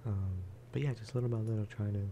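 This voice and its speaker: A man speaking softly in a low-key, rambling ASMR style as he chats over gameplay. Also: steady tone, quick pace, slight background noise. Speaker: gaming video creator. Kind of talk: soft-spoken ASMR gameplay chat.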